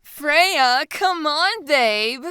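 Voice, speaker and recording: A woman voicing a playful, excitable young woman with a bubbly, teasing, theatrical manner in an audiobook story. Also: sits high, extremely slow, dry recording, studio quality, voice pushed up, full character voice. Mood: mock-pleading melodrama. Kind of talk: audiobook character dialogue.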